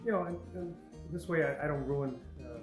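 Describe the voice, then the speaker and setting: A man's voice: fairly high pitch, brisk, faint music behind. Hesitant man with Polish-Boston accent. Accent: Polish mixed with Boston. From New Hampshire. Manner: hesitant, many fillers, easygoing. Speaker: carving student. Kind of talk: casual interview answers.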